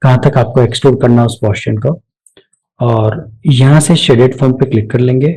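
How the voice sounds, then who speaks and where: A man giving a step-by-step software tutorial off the cuff, mixing English technical terms into his speech. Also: medium pitch, moderate pace, low-quality recording. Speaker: software instructor.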